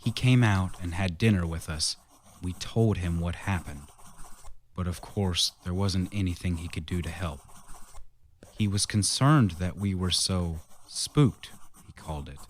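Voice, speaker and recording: A man plays a haunted, weary husband in a radio drama. His voice is low and grave, with deliberate phrasing, as if setting events down in a journal. There is some noise on the recording. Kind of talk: radio drama acting and diary narration.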